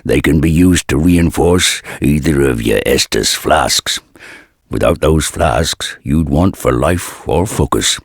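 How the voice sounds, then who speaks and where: An actor playing a burly, humble old blacksmith in a dark fantasy video game, with a gravelly, husky voice and slow, archaic, folksy phrasing. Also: male voice, very deep voice, expressive, no echo, studio quality, exaggerated voice. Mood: helpful.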